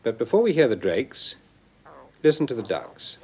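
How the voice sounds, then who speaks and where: A man giving measured, explanatory scripted narration for an educational record, heard on a vintage recording. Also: mid-range voice, very animated, slight background noise. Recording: dull, band-limited vintage record.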